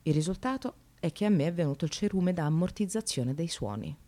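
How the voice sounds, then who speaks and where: A woman performs a fed-up receptionist in a radio play, sardonic and bitter. Her lowish voice swings widely between muttered asides and dramatic outbursts. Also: animated, fairly quick, warm tone, close to an everyday voice. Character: exasperated, bitterly sarcastic precarious receptionist, a working mother. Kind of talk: theatrical radio monologue.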